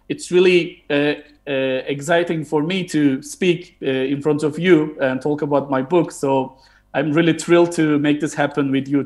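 Turkish man, 30s, hosting a video-call book talk, hesitant with lots of fillers, slightly high voice. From Turkey. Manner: hesitant, frequent fillers and restarts. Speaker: historian and host. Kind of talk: moderating an online book talk. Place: home room, indoors.

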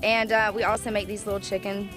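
A woman's voice: mid-range voice, level tone, quick pace, a bit bright, faint music behind. An American woman in her thirties, an informal, candid guest in a casual outdoor interview, picked up on a handheld microphone. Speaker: interview guest, pet accessory maker. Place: outdoors in a dry hillside yard. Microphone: reporter's handheld microphone held toward them.

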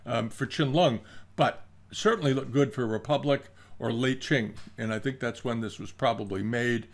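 Gravelly older man, halting commentary. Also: mid-range voice. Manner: halting, repeats phrases, musing. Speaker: antiques auction commentator, host. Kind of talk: unscripted commentary on auction items. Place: furnished room at home, indoors.

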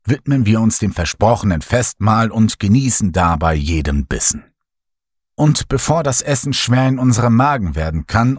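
A man narrating a first-person horror story in a measured storytelling voice, recorded on a close mic. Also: lowish voice, husky, no room sound. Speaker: audiobook narrator.

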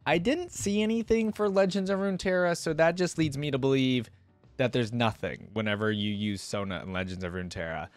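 A man's voice: medium pitch, fairly quick, dry recording, faint music behind. A man in his twenties reacting casually, thinking aloud and putting questions to viewers, close on a desk microphone. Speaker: reaction video host. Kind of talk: casual reaction commentary on game voice lines. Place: indoor desk setup at home. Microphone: desk microphone close to the mouth.

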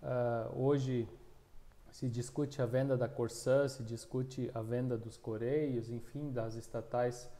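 Halting man with a dark voice. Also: medium pitch. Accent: southern Brazilian Portuguese. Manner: halting, many restarts and fillers. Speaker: regional union coordinator. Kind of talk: interview answers. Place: small room, plain wall, indoors. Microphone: lapel mic.

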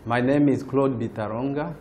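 A middle-aged man with a Burundian accent, speaking haltingly and hesitantly as a student being interviewed. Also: medium pitch, steady tone, a little mellow, soft background music. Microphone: lapel.

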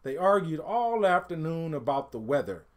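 A middle-aged man reading a picture book aloud as an expressive storyteller, with deliberate, emphatic phrasing that falls away at phrase ends. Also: a little high. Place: indoors, small home studio room.

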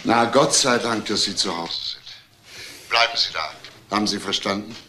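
A man's voice: lowish voice, measured pace, background noise, natural voice. A man playing an insistent investigator in a crime drama, commanding, questioning and concerned. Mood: firm.

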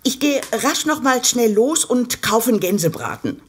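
An actress playing a doting, excitable middle-aged mother in an audio drama, effusive and expressive. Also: a little high, normal speed, slightly rough. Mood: delighted, affectionate.

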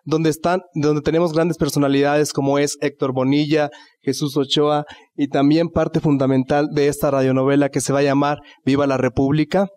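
Young man, Mexican accent, slightly high pitch, interviewer, on-camera presenting, handheld mic. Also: little pitch movement, moderate pace, darkish tone. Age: young adult. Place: indoor office lounge at a radio station. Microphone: handheld reporter microphone.

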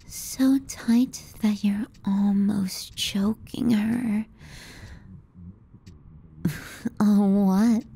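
A woman playing a teasing, callous, clingy younger sister in an intimate ASMR roleplay, sardonic and often hushed. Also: medium pitch. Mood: mocking. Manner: sardonic, needling, dropping into hushed murmurs.

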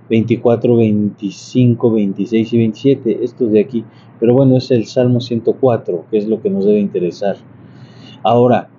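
A man, giving an informal video lesson in a chatty, halting way that often wanders into asides. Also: on the low side, warm tone. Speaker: church organist and psalm-singing teacher. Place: large bright interior beside an organ. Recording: muffled sound with clear background noise.

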